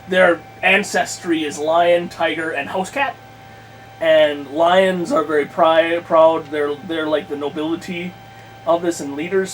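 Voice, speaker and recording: A man chatting casually about his tabletop game plans, thinking aloud in a halting, self-correcting way with crude language. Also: on the high side. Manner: crude, freely swearing. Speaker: podcast guest host, game master. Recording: clearly noisy room recording.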